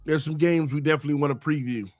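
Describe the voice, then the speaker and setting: Male sports host, solo live show, sing-song hype delivery, voice going hoarse, dry mic. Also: on the high side, fairly even intonation, rapid-fire, a little mellow, dry recording, soft background music. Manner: sing-song, hyped morning greetings. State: losing his voice.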